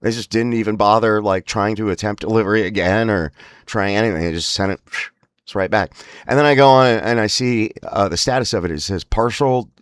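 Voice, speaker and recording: A man hosts with a casual, rambling monologue in a deep voice. His speech is strained and broken by spasms, yet wry and emphatic. The sound is close and dry, free of room echo. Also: fairly quick, clear, resonant tone. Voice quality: spasmodic, strained breaks from spasmodic dysphonia. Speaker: host of a lifestyle series about a voice disorder.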